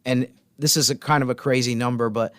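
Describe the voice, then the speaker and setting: A man's voice: medium pitch, steady tone, a little fast, fairly bright tone. A man co-hosting a sports podcast in casual conversation, talking as a sports writer.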